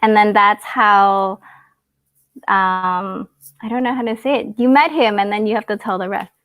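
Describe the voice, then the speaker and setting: A woman's voice: mid-range voice, fairly even intonation, normal speed, fairly bright tone, no echo. A woman whose first language is Cantonese, chatting casually as a guest on a live video call, giggly and full of fillers. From Canada.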